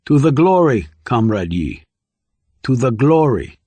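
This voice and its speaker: A man's voice: mid-range voice, big pitch swings, unhurried, dark tone, slightly rough, no echo. A man voicing a cold, callous and zealous male agent with a formal, stern manner in an audiobook. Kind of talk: audiobook character dialogue.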